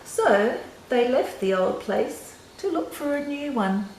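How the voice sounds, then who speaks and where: A woman's voice: a little high, animated, slow, darkish tone. An older woman reading a children's picture book aloud in short, simple phrases, with chatty asides to young listeners. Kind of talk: read-aloud story with casual asides. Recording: roomy echo, faint background noise.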